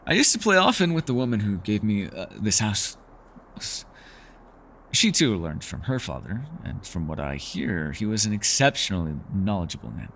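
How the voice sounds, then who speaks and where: A man's voice: on the low side, very animated, normal speed, fairly bright tone, slight background noise. A man playing a mysterious, learned elf in a fantasy ASMR roleplay, talking to the listener in a bookish, rambling and playfully formal way. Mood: teasing, relaxed. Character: mysterious, learned male elf, talkative and gently teasing.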